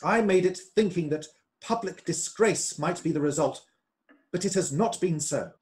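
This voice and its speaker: A man's voice: high voice, normal speed, a little mellow, close to an everyday voice. A man playing a fervent, troubled politician and devoted husband in a period radio play. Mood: reflective. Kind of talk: amateur radio play acting of a period drama.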